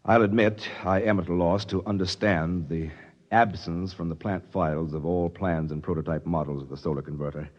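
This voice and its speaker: An actor playing a flustered, stuffy company official in a 1950s radio drama, formal and officious, with a stammering manner. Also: male voice, sits low, steady tone, normal speed. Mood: formal, uneasy. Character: flustered, stuffy male corporate official defending himself. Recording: dull, reverberant 1950s radio recording.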